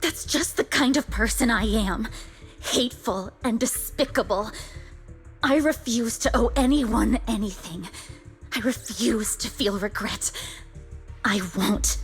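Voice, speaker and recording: An actress playing a bitter, grieving young woman raised in a cult, wounded and resentful, in a dramatic video game scene. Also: a little high. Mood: cold, hateful. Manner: slow, deliberate, wounded and resentful. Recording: music behind the voice.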